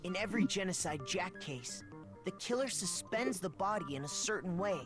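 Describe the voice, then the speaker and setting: A thoughtful, helpful young student in a video game, voiced by a woman, explaining in an animated voice of middling pitch. Loud music runs underneath. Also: normal speed, lightly acted.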